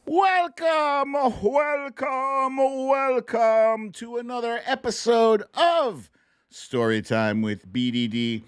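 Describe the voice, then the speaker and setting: A man's voice: very high-pitched, expressive, takes it slowly, clean tone. A middle-aged man hosting a livestream show, chatting casually with viewers in a playful, sing-song way. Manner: playful, exclamatory, sing-song with silly catchphrases.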